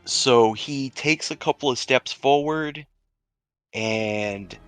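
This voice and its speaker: A man's voice: mid-range voice, slow, a bit bright, faint music behind. A man running a tabletop roleplaying game, narrating the scene as game master.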